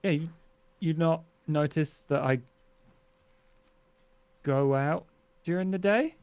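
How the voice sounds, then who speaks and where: A man playing a clueless, easygoing young stoner vampire in a tabletop game, with a casual, halting manner. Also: a little high, darkish tone, clear, resonant tone, no room sound, voice pushed up. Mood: nonchalant. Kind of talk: in-character tabletop roleplay.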